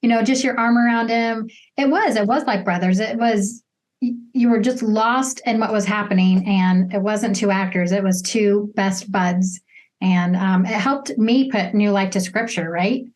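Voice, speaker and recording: A woman's voice: mid-range voice, normal speed, darkish tone. Woman, middle register, interview host, earnest, casual conversational delivery.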